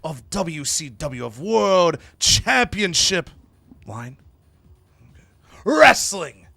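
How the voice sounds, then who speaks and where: Hammy, nervous male wrestler, played by a storyteller, bright voice, grand declaiming broken by flat asides, music bed. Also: fairly high pitch, takes it slowly, vocal fry, no room sound, heavily acted. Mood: flustered. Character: hammy, nervous, theatrical male wrestler forgetting his lines.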